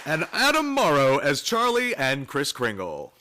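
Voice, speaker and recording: A man's voice: on the high side, huge pitch swings, a little slow, a crowd in the background, noisy background. A man reading scripted credits as a radio announcer, recorded live in a theater. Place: theater stage, live performance.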